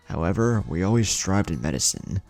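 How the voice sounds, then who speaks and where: Calm young kunoichi, played by a male narrator: low and creaky, patient explainer, anime audio story. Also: brisk, soft background music, full character voice. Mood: soft, patient. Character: composed young kunoichi woman explaining secret matters.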